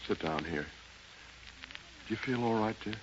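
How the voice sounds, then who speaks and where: A deep-voiced, devoted husband played by a male actor speaks with solemn, halting tenderness and held-back heartbreak. The 1930s radio acting sits in a dull, faintly noisy old recording. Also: lively intonation, natural voice. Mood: gentle reassurance.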